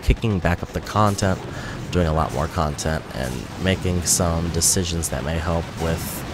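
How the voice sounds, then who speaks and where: Deep-voiced man, slightly nasal from a cold. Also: moderate pace. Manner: rambling, self-correcting, chatty. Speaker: gaming YouTuber. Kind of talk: casual gameplay commentary. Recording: music playing behind.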